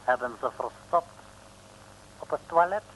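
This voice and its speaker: A sly crook from a vintage radio comedy, speaking slowly in a mock Chinese accent. He is exaggeratedly humble and flowery, with a bright, cutting tone on a slightly noisy old recording. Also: medium pitch, expressive. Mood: feigned defeat. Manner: self-abasing, flowery, broken grammar. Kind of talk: 1950s-60s Dutch radio comedy acting.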